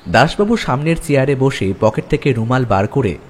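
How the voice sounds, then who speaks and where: A man's voice: medium pitch, lively intonation, normal speed. A man giving a scripted first-person narration of a story.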